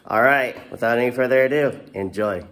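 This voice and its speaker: A man's voice: mid-range voice, a bit bright. A man in his forties hosting, giving an upbeat intro and asking interview questions over a video call. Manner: enthusiastic, quick, with fillers. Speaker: host. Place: indoor room, video call from a home studio. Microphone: webcam on video call.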